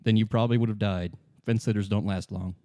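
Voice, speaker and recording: A man's voice: lowish voice, fairly even intonation, fast talker, warm tone, no echo, studio quality, natural voice. A man voicing a grave, philosophical, defiant woman in a tabletop roleplaying game. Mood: grave, musing.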